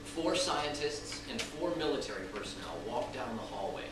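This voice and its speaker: A man's voice: mid-range voice, lively intonation, normal speed. A man narrating the stage directions of a script at a live reading, in scripted present-tense prose. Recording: echoey room, distant mic, noisy.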